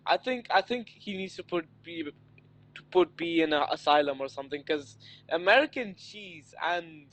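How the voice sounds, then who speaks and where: A man's voice: fairly high pitch, animated, normal speed, a bit bright, poor audio. A man co-hosting a racing live stream in casual chat, easygoing and teasing, laughing often between remarks. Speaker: race stream co-host.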